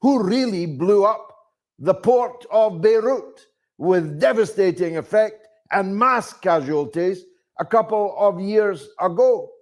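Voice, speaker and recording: Slow, deliberate male political commentator. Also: high-pitched. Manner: deliberate, rhetorical, emphatic phrasing with repeated questions. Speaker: political commentator delivering a monologue. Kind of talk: spoken political commentary to an audience.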